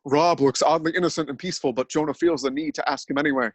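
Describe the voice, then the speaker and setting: A man narrates a scripted dramatic reading in an earnest, deadpan manner. His voice sits a little high for a man. The recording is close and dry, with no room echo. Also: fast talker. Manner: earnest, deadpan telling of absurd events.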